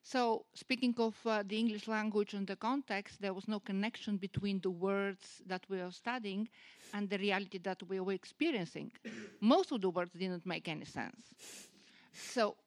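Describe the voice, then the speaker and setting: A woman's voice: mid-range voice, normal speed, no echo. A woman from Bulgaria with a Bulgarian accent, asking an audience question after telling an earnest personal story. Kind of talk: audience question at a public talk.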